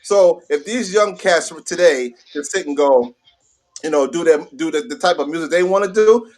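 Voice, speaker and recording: A middle-aged American man with a voice a little higher than most men's. He talks casually as a guest, full of slang. He repeats phrases for emphasis and drives his points home. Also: animated, moderate pace. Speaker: guest, veteran hip hop DJ and host. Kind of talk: casual chat.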